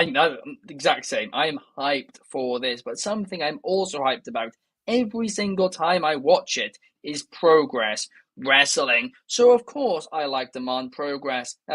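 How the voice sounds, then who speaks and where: A man's voice: a little high, a bit bright, dry recording. Chatty Welsh man, dry close sound. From Wales. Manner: chatty, enthusiastic, quick asides to viewers. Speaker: podcast host. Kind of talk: casual news chat.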